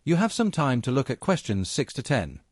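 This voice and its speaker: A man's voice: medium pitch, sing-song, moderate pace, no room sound, studio quality. A man reading scripted listening test instructions in a formal, instructional manner as an exam narrator.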